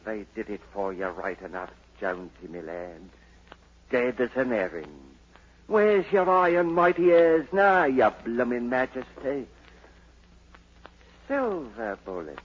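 An actor playing a rough, scornful Cockney trader with a jeering, slangy manner in a 1940s radio drama. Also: male voice, medium pitch. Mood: mocking. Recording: muffled, hissy old radio recording.

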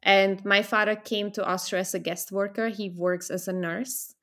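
A woman's voice: mid-range voice, fairly even intonation, moderate pace, a bit bright. Czech-born woman in her thirties, telling stories. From Czech Republic. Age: 30s. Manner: thoughtful, storytelling, with restarts. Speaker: guest. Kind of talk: casual interview conversation.

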